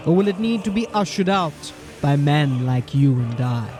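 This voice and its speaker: Brief: actor as a pompous lecturing captain, slightly high voice, faint music behind. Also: male voice, lively intonation, moderate pace, a little mellow, close to an everyday voice. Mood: grandiose. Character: haughty, pompous captain, middle-aged man. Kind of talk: audio drama acting.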